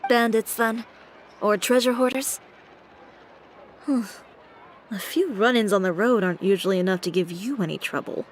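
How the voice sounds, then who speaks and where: A woman playing a poised, sharp-tongued fashion designer. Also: mid-range voice, moderate pace, long pauses, slight background noise, lightly acted. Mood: composed. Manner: composed, dry, pointed. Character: poised adult female fashion designer, proud, fiery temper. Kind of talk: game voice acting. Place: recording studio. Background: game music.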